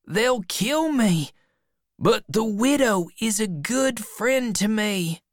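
Narrator doing a kid in an audiobook, voice way up high, earnest, urgent, kinda scared. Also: expressive, slow pace, no room sound, studio quality. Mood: urgent pleading. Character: earnest frightened boy, urgent and pleading.

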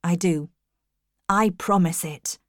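A woman voicing an ardent, commanding gentleman in love, earnest and intense, in a storybook reading. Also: fairly low pitch, takes it slowly, no echo, studio quality. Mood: tender.

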